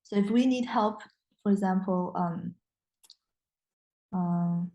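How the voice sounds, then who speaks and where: A woman's voice: fairly low pitch, slow, mellow, muffled recording. Hesitant young woman, Mandarin native speaker. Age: young adult. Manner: hesitant, many restarts and fillers. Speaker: guest. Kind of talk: casual interview.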